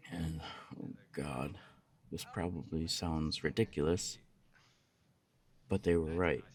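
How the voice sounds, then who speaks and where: A man playing a jealous, lovesick young punk roommate in an ASMR roleplay audio drama, speaking in a halting, confessional way with swearing. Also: extremely low pitch, a little mellow. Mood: hesitant, embarrassed.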